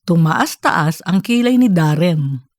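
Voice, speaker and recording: A woman's voice: fairly low pitch, lively intonation, slow pace, a little mellow, no room sound, clean studio sound. A woman reading scripted narration for a comedic fiction story. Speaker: audiobook narrator.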